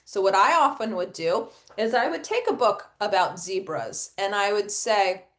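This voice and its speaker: A woman's voice: medium pitch, lively intonation, moderate pace, fairly bright tone. A middle-aged woman giving an instructional webinar for educators, explaining step by step and posing questions that she then answers herself. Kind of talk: instructional webinar presentation with acted read-aloud examples.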